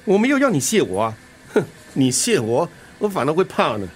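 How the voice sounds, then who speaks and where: A man's voice: on the high side, wildly swooping pitch, fairly quick, slight background noise. An actor playing a breezy, caring middle-aged father and musician in a radio drama, flippant, with a Singaporean accent. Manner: flippant, bantering. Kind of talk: Mandarin radio drama acting.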